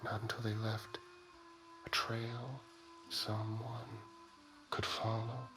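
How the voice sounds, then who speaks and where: A man playing an obsessed, grim investigator in a whispered ASMR dramatic monologue, speaking deliberately and with menace. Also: mid-range voice, completely flat delivery, music in the background, natural voice. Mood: grim resolve.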